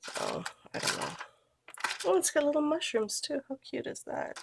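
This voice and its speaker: Relaxed nasal woman with a gravelly voice. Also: high-pitched, normal speed, background noise. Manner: relaxed, chatty, trails off while working. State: nasal from a cold. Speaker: scrapbooking vlogger. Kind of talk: casual craft tutorial chat.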